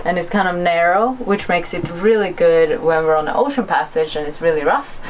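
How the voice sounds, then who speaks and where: A woman's voice: fairly low pitch, fairly quick, background noise. A woman from Sweden, a native Swedish speaker, chatting casually as a sailing vlogger showing viewers around. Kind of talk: casual chat and tour to camera.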